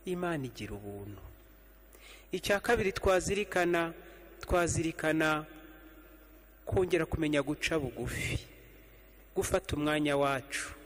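A middle-aged Rwandan man, a priest, giving a measured homily at evening Mass from behind the altar, repeating slow refrains. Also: fairly high pitch, lively intonation, fairly bright tone. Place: church sanctuary, standing behind an altar. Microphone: stand mic on the altar, fixed camera. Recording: long church reverb.

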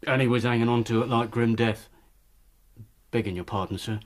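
An actor playing a hesitant, deferential local police officer in a radio drama. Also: male voice, medium pitch, fairly even intonation, a little fast, close to an everyday voice. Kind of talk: BBC radio drama acting.

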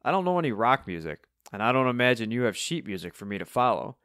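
A man voicing a reserved older pianist, polite and measured, in an audiobook. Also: mid-range voice, big pitch swings, a bit bright, no echo, clean studio sound. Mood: tactful. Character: reserved, courteous old male pianist.

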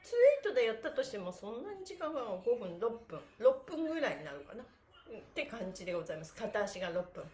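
A woman's voice: fairly high pitch, very animated, quick pace, a little mellow. A middle-aged woman leading a follow-along stretch session as instructor, cheerful and sing-song, chanting counts while moving. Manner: physically active while talking. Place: small indoor room with bare wall, on a mat. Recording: roomy, echoing indoor sound.

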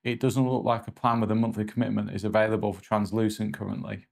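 A man in his forties presenting a scripted review in a measured, matter-of-fact way. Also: on the low side, flat delivery, creaky, darkish tone.

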